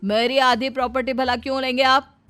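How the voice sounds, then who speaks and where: A greedy, aggrieved man in a cartoon moral story, put on by a woman. The voice is very high, whiny and exclamatory, with faint music underneath. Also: little pitch movement, fairly quick, close to an everyday voice. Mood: protesting. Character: greedy, whiny, indignant man of a duped family. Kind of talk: animated moral story dialogue.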